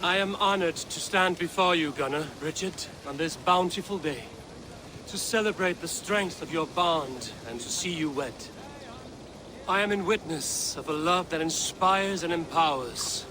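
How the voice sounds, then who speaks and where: An honorable Viking warrior chief acted by a man speaks in stately game dialogue. The voice sits slightly high for a man and keeps a measured, formal steadiness. Noticeable ambient noise lies behind it. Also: lightly acted. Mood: ceremonial. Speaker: video game voice actor. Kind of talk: dramatic game dialogue acting.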